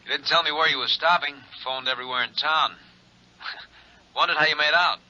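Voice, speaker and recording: An actor playing an overconfident, slippery suspect in a 1950s radio drama, breezy and falsely friendly. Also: male voice, on the high side, moderate pace, bright, forceful tone. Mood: breezy, confident. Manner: breezy, confident. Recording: dull 1950s radio recording.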